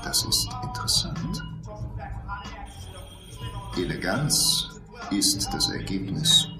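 An actor playing a pompous coach who declaims philosophical aphorisms about training in a German radio drama. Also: male voice, deep voice, animated, slow. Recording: echoey room, music behind.

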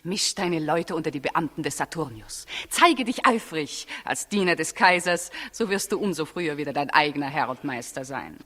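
An actress playing a composed, shrewd royal woman who counsels a king, with measured, persuasive delivery in a 1960s German radio drama. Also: low-pitched, lively intonation, pushed and bright, full character voice. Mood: coolly persuasive. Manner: measured, coolly persuasive, theatrical diction. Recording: 1960s radio studio, long reverb.

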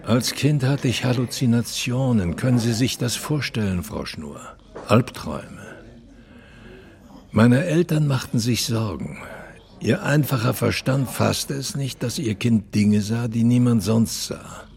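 A man playing a proud, self-absorbed aged opera star in a radio drama, reminiscing in a theatrical, deliberate way. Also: mid-range voice, expressive, creaky, a little mellow. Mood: troubled reminiscing. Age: older. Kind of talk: German radio drama monologue.

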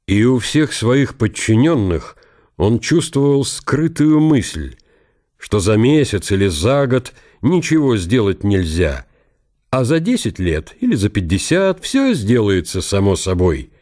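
A man's voice: on the low side, big pitch swings, measured pace, darkish tone. A man narrating a historical radio drama in a dramatised literary style.

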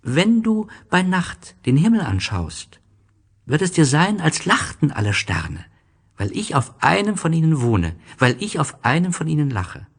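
A man playing a gentle, wistful young boy in a German radio play, speaking slowly, earnestly and tenderly. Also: fairly high pitch, big pitch swings, breathy edge, pitched above the performer's own voice, heavily acted. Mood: consoling. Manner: slow, earnest, tender, simple phrasing.

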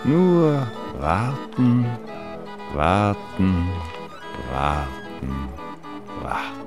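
An actor playing a brooding, fatalistic observer in a radio play monologue, contemplative and given to repeating himself. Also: male voice, deep voice, wildly swooping pitch, over music, exaggerated voice. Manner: slow, repetitive, contemplative. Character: brooding, fatalistic man watching the house's comings and goings.

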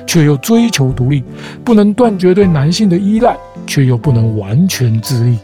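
A man hosting a children's show, explaining ideas in a calm, patient, teacher-like way. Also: a little high, moderate pace, soft background music. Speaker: host, the show's dad figure.

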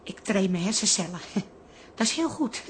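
An actress playing an anxious, sharp-tongued woman who covers her fear with blunt jokes, in a Dutch radio drama. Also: mid-range voice, animated, moderate pace, slight background noise, natural voice. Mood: sardonic. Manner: sardonic, chattering, blunt.

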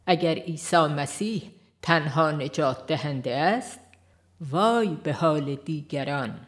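A woman reading scripted audiobook narration in a measured, formal manner. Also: low-pitched. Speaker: audiobook reader.

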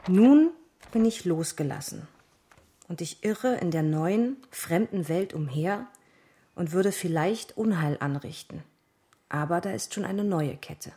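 A woman's voice: lowish voice, unhurried, mellow, lightly acted. An actress playing an intense, dreamy young woman in love with literature, reciting theatrically in a radio play. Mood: dramatic, yearning. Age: young adult.

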